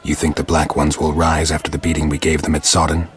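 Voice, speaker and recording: A man voicing a gruff, stoic monster hunter with dry wit and laconic delivery in a fantasy video game. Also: very deep voice, fast talker, faint music behind. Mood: dry, businesslike. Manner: deadpan, laconic. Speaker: player character of a fantasy game.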